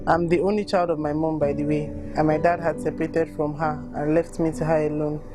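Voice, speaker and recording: A woman's voice: low voice, little pitch movement, a little fast. A woman with a Nigerian accent, reading personal accounts aloud and speaking candidly about them. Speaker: volunteer reader reacting to written accounts. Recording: music bed under the voice. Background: music.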